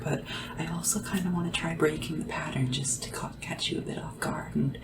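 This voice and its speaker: A woman playing a humble, deferential royal sleep assistant in a whispered, soft-spoken ASMR roleplay, hesitant and polite. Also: low voice, close to an everyday voice. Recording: noisy lo-fi home recording, slightly distant mic.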